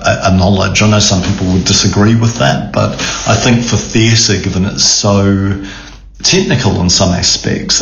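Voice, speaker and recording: A middle-aged man with a New Zealand accent, a theatre chief executive answering radio interview questions in a measured, thoughtful way with frequent ums and restarts. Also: sits low, gravelly, fairly bright tone. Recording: roomy, dull sound with faint background noise.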